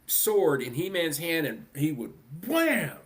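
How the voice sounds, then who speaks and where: A man chatting casually as a fan panelist, enthusiastic and expressive. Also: fairly high pitch, slow. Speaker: panelist at a fan convention discussion.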